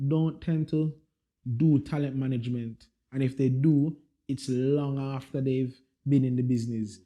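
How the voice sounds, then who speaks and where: A man hosting a music podcast, introducing his guests with hype and street slang. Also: medium pitch. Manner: lively, hyped introductions with slang. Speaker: podcast host. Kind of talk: casual podcast chat.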